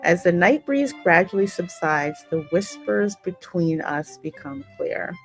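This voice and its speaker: A woman's voice: lowish voice, expressive, unhurried. A woman hosting a gaming channel, reading dialogue aloud and reacting in a chatty, playful, teasing way. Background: game music.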